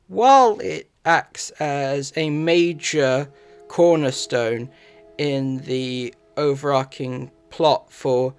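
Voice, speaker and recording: A man narrating a review in measured, somewhat halting phrases. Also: mid-range voice, clear, resonant tone.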